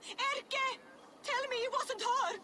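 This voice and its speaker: A woman's voice: extremely high pitch, fairly even intonation, slow, heavy background noise. An actress playing a fretful, outspoken Viking-age mother in a video game, with an anxious, earthy manner. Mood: panicked. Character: fretful, plain-spoken Viking-age mother of a missing daughter. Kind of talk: video game cutscene acting.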